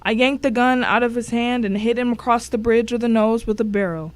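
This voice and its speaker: A woman's voice: a little high, fairly quick, natural voice. A woman playing the grim first-person narrator of a crime thriller in a scripted dramatic reading. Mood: grim, tense.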